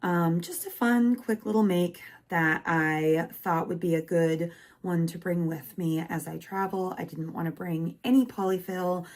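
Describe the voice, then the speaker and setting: Casting: woman, slightly low-pitched, voice going hoarse, candid rambling maker vlog. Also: a little slow. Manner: chatty and candid, crocheting while talking. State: losing her voice. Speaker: crochet maker and small business vlogger. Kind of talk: casual rambling vlog chat. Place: home craft room.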